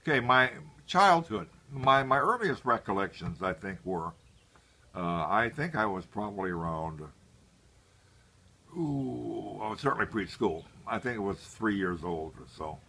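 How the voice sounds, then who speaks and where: Deep-voiced older man, bright and forceful. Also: animated, creaky, breathy edge. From Hawaii, United States. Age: 70s. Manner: halting, deliberate, with long pauses. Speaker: interviewee, grandfather. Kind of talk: unscripted family reminiscence.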